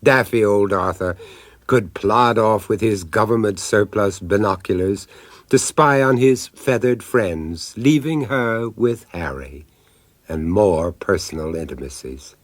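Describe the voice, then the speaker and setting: Low-voiced man narrating dryly. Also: expressive, unhurried, no room sound. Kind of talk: scripted narration.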